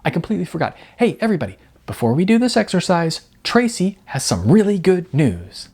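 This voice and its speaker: A man's voice: high-pitched, very animated, brisk, a little mellow, slightly rough, voice pushed up, full character voice. A man voicing a chipper, gushing male acting coach in an audiobook story, exclaiming and stretching words out with relentless optimism. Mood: gleeful, chipper.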